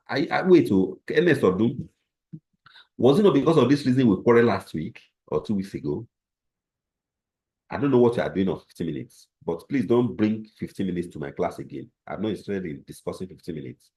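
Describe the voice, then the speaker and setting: A man's voice: low-pitched, dark tone. A man hosting a live trading class, bluntly answering viewer comments.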